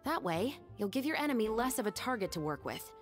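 An actress voicing a cold, dignified female musketeer who gives calm, firm instructions. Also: on the high side, fast, soft background music. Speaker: weapons instructor in a game cutscene.

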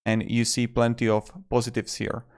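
A man's voice: mid-range voice, completely flat delivery, normal speed, vocal fry, smooth. A man in his twenties narrating a casual review as a video host, with loosely worded phrasing, speaking close to a desktop microphone in a room. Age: 20s. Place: small indoor room. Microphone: desktop microphone close in front of him.

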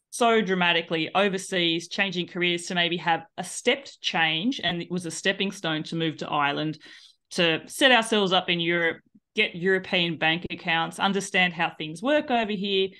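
A woman's voice: lowish voice, fairly bright tone. A woman in her thirties with an Australian accent, telling her story at length on a video call with a headset, chatty and laughing easily. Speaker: guest, cycling tour business owner. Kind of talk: casual video call interview. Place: small room at home.

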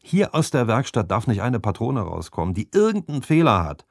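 A cocky teenage apprentice boasts and lectures in a lively, bouncing voice, as a man puts him on. The sound is close and dry. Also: mid-range voice, a little fast, gravelly, no echo. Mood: boastful.

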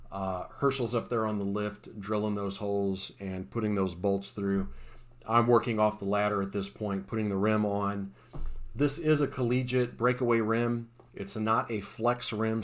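A man, an installer, talking through the steps of a job in a plain, technical voice-over, with frequent uh's and restarts. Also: fairly low pitch. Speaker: sport court installer. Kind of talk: voice-over explaining a time-lapse install.

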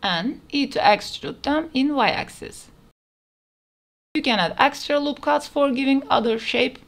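A woman's voice: high-pitched, unhurried, creaky. A woman narrating a step-by-step 3D modeling tutorial in plain, instructional phrasing, recorded as a voice-over. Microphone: voice-over over screen recording.

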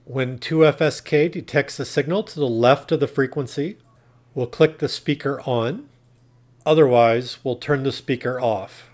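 A man's voice: mid-range voice, measured pace, darkish tone. A man narrating a tech tutorial in a plain, instructional, step-by-step way. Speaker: tutorial presenter.

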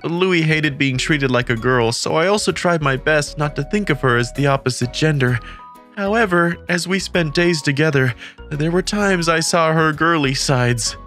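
A man's voice: a little high, moderate pace, a bit bright, lightly acted. A man playing an earnest, kindly teenage boy who narrates and speaks in an English-dubbed manga romcom. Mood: narrating. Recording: music behind the voice.

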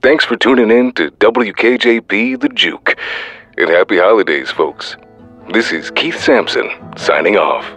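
An actor playing a genial old late-night radio disc jockey in an audio drama. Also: male voice, lowish voice, measured pace, a bit bright, faint music behind.

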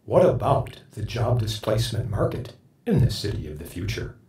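A man asking a scripted question through a spectral pitch-warping effect. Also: big pitch swings, normal speed, mellow. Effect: pitch-warped, spectral shifting effect. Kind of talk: scripted question in a sample clip. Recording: roomy, echoing processed sample clip.

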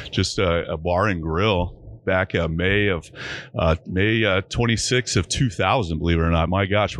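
A man's voice: deep voice, no echo. A middle-aged man who owns a brewery, answering interview questions in a folksy, rambling way with many fillers, recorded on a microphone on a brewery floor. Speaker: brewery owner. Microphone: studio microphone on arm.

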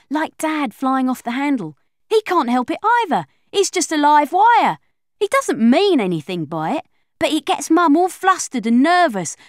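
A woman's voice: fairly high pitch, dry recording, clean studio sound. A woman narrating an audiobook in the voice of a chatty young girl telling her own story. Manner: lively, expressive, confiding. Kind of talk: first-person audiobook reading.

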